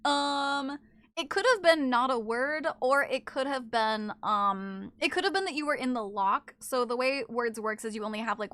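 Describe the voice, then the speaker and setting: A high-pitched woman streams live and talks to her chat, animated and teasing, full of exclamations. Her voice is bright and sharp. The sound is dry and close, with no room echo. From not from Japan.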